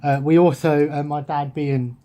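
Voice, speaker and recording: A man's voice: fairly high pitch, level tone, unhurried, mellow. Rambling young English guy on his sofa. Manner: rambling, absent-minded, many restarts. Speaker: gaming vlogger. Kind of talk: casual chat to camera. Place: living room at home, sofa. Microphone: webcam at close range.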